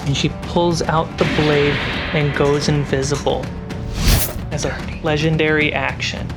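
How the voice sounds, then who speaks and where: A game master narrating a tabletop fantasy game in a measured, dramatic storyteller's way. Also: medium pitch, little pitch movement. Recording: music behind the voice. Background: background music score.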